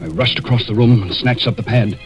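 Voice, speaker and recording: A man's voice: mid-range voice, brisk, background noise, natural voice. An actor playing a desperate, cornered murderer narrating his confession in a tense, dramatic 1940s radio thriller style. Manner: tense, dramatic first-person storytelling. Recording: dull 1940s radio recording, music behind.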